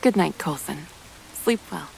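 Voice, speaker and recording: A woman voicing a gracious, softly amused mother in an animated comic drama, polite and articulate. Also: low-pitched, measured pace, creaky, a little hiss or hum, heavily acted, poor audio. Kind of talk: animated motion-comic voice acting.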